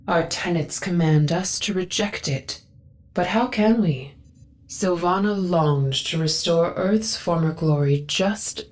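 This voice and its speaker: A woman playing a devout leader of a faith in a video game, speaking in a measured, earnest, formal way. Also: fairly low pitch, darkish tone. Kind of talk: dramatic video game acting.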